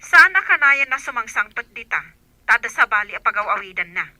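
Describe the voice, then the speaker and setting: A woman's voice: fairly high pitch, very animated, a little fast, no room sound, exaggerated voice. An actress playing a suspicious, bossy female employer, interrogating impatiently through a telephone filter in a radio drama. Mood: suspicious, scolding. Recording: sharp thin telephone-call sound.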